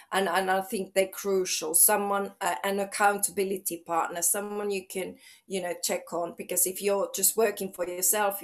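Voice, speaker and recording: Bubbly woman. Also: medium pitch. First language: Finnish. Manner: bubbly, outgoing, chatty with many restarts. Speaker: fitness trainer, guest. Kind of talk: casual interview chat.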